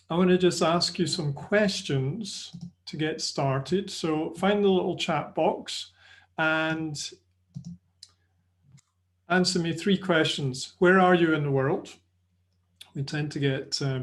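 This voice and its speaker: A middle-aged man with a Scottish accent presents a live online lecture over a video call, friendly and chatty, with fillers and restarts. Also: a little high. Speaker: webinar presenter. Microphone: video call webcam.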